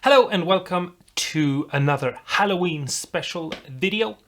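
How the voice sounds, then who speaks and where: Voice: medium pitch, expressive, measured pace, a bit bright, soft background music. A host from Europe talking viewers through a build in a casual, rambling, encouraging way. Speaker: host of a DIY guitar pedal video.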